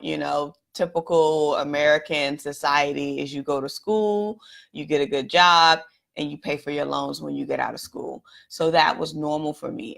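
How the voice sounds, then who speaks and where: A woman from Washington, D.C. speaks candidly on her own live stream, addressing viewers directly as she reflects on her past. Her voice sits low and carries a gravelly fry, and it has turned a little raspy. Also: a bit bright. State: slightly raspy voice. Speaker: host. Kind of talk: personal story told on a live stream.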